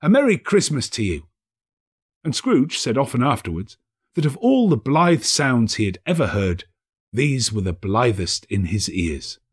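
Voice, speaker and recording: A man narrating a classic story as an audiobook, with theatrical, measured storytelling. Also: mid-range voice, very animated, breathy edge. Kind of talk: expressive literary narration.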